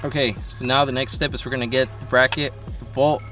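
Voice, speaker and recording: A man's voice: mid-range voice, level tone, brisk. A man presenting a step-by-step installation how-to in casual, informal language. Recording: music behind the voice.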